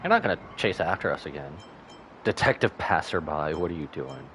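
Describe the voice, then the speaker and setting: A man's voice: extremely low pitch, very animated, brisk, fairly bright tone, slight background noise. A man giving casual, wry live commentary as he streams a game, joking and reading bits aloud.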